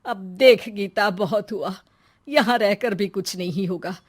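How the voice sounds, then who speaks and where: A woman's voice: medium pitch, unhurried, husky. A woman voicing an aggrieved, stern middle-aged mother-in-law with a weary manner in a family story.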